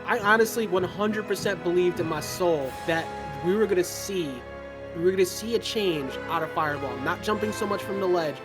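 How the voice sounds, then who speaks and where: A lively esports caster gives rapid live commentary on a fighting game match. The voice climbs and gets squeaky whenever the play turns exciting. Loud game music plays steadily behind. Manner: voice turns squeaky when hyped.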